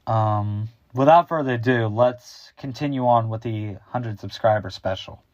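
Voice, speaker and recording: A teenage male YouTuber with a deep, bassy voice, chatting in an improvised, rambling and slightly awkward way. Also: unhurried, a little mellow, dry recording, lo-fi sound. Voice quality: deep, bass-heavy voice. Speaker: YouTube host. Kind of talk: casual unscripted chat.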